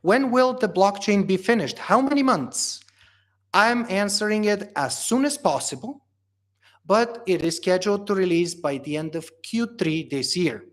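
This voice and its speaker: A man's voice: high voice, expressive, measured pace. Man answering viewer questions live. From Bulgaria. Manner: casual, reads questions aloud then answers with many fillers. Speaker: company founder hosting a livestream Q&A.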